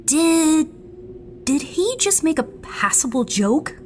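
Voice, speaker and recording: A woman's voice: sits high, big pitch swings, slow, slight background noise, exaggerated voice. A woman playing a surly, protective menagerie keeper in an audio drama, blunt, grumbling and dryly sarcastic. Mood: dry sarcasm.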